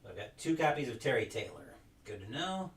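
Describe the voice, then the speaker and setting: A man's voice: medium pitch, sing-song, brisk. A young man talks casually through an unboxing, reading names aloud and drifting into side stories. His voice sits in a middle range and sounds a little distant from the mic, in a room with noticeable echo. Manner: rambling, reads names aloud while sorting. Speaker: collector unboxing wrestling game cards.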